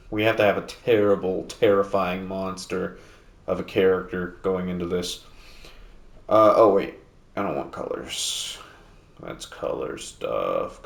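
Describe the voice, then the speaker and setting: A man casually commenting on a game he is playing, rambling with lots of fillers, speaking a little louder than usual because he sits away from the mic in a house. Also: sits low, echoey room. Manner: rambling, relaxed, many fillers and restarts; talking louder than normal to make up for mic distance. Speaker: gameplay video host. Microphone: sitting at a distance from the mic.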